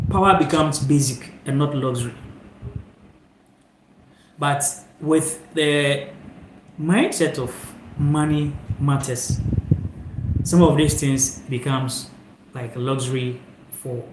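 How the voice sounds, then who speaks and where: A man's voice: on the high side, fairly even intonation, takes it slowly, pauses often. A young man from Ghana hosting a live stream, talking reflectively to viewers into a phone held sideways, rambling with fillers. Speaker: channel host. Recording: echoey room, faint noise.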